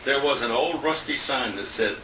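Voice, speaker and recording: A man's voice: mid-range voice, moderate pace. A man giving an informal tribute speech at a dinner, telling rambling, chuckling anecdotes, picked up by a handheld camera. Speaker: radio colleague giving a tribute. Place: restaurant dinner event, indoors. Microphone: handheld compact camera at a distance. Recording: distant handheld camera, roomy, noisy.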